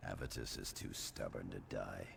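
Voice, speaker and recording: An actor playing a grim veteran space marine scout, gravelly, terse and cold, in a sci-fi game. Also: male voice, a bass voice, fairly even intonation, moderate pace, a bit bright, slight background noise, exaggerated voice. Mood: grim, controlled. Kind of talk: sci-fi game unit dialogue.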